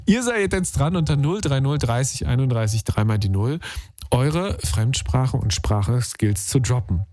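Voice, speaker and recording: Male radio host, slangy and upbeat, slightly high voice, dry close studio mic. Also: darkish tone, no room sound. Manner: upbeat, slangy, chatty with filler sounds. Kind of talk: casual call-in radio talk. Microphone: studio microphone.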